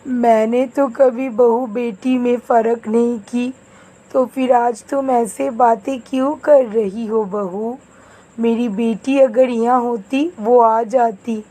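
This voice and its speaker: A woman acts out an old-fashioned Indian mother-in-law for an animated moral tale. Her voice sits fairly high and moves at a slow, drawn-out pace. She nags and scolds theatrically, demanding to be obeyed. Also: low-quality recording. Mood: pleading. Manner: scolding, dramatic exclamations. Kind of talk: scripted animated moral story dialogue.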